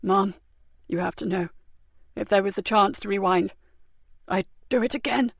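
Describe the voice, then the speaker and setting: A woman's voice: medium pitch, gravelly, no room sound. Woman voicing a dying sergeant. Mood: weak. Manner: faint, halting, breathless. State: weak and dying. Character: badly wounded young male police sergeant.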